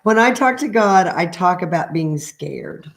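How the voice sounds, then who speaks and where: Middle aged lady reading aloud gently, real slow, kinda low voice, chatty little asides. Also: animated, creaky, noisy background. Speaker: spiritual healer reading a picture book aloud.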